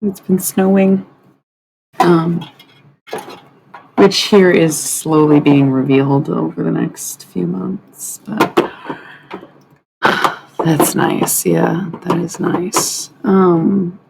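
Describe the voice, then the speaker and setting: A woman with a raspy voice hosting a live stream from home at night, chatting loosely to viewers and swearing freely. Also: on the low side, extremely slow, long pauses, darkish tone, slight background noise, rough recording. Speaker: livestream host. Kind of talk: casual live-stream chat. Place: at home at night, others asleep nearby.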